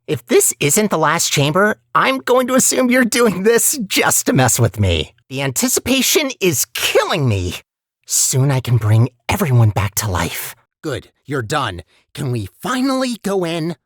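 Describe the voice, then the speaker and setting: Chatty robot companion, eager, sardonic, very animated pitch, casual game dialogue, dry recording. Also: dry recording. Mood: eager, impatient. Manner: talkative, expressive, wry.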